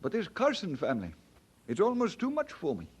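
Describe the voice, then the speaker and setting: Young male minister: high husky voice, humble, earnest, expressive swings, radio drama. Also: brisk, exaggerated voice. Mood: earnest, compassionate.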